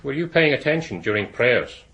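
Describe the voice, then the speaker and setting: A man's voice: mid-range voice, sing-song, moderate pace, vocal fry, a bit bright, highs cut off. An actor playing a stern, pious, domineering Victorian father in a radio drama, solemn and commanding.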